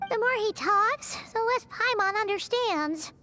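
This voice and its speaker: A tiny, curious fairy sidekick with an extremely high, squeaky voice. Blunt and impatient, this childlike game character bounces around in pitch over clear music. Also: female voice, animated, unhurried, pushed and bright, full character voice. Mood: confused. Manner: squeaky, blunt, refers to self by name. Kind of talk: video game character dialogue.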